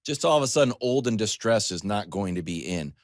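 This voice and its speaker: A man with a fairly low, very animated voice delivers a comedic sports monologue as a talk show host. He is conversational and emphatic, slipping into asides and imagined questions. The sound is close and dry, as on a broadcast studio microphone. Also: brisk, dry recording.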